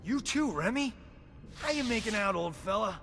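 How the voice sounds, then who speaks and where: An actor playing a cocky, flirtatious rogue doctor in a video game, with a breezy, self-assured manner. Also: male voice, sits high, lively intonation, moderate pace, a bit bright. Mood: breezy, flirty. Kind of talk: video game cutscene acting. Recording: game music behind the voice.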